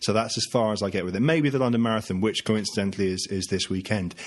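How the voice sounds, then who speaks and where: A man hosts a casual podcast in a small room above a gym. His voice sits fairly low and sounds congested from a cold. He teases his co-host with dry wit. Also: fast. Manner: dry teasing humor.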